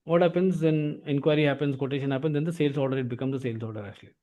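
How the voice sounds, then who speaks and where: A male instructor with an Indian accent gives a software lesson in a slightly high, mellow voice. He talks at a rapid pace and often tacks "actually" onto his phrases. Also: dull audio. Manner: repeatedly tags phrases with "actually". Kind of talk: explanatory software training lesson.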